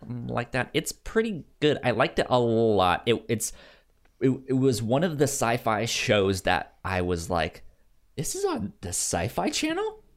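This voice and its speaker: Guy podcast co-host talking movies, pitch jumps around a lot, halting, repeats words while thinking. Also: huge pitch swings. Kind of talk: casual film discussion.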